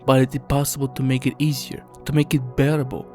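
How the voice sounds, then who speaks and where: A man's voice: mid-range voice, flat delivery, fast talker, darkish tone. A man reading scripted video essay narration in an earnest, somber, explanatory way. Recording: music under the voice.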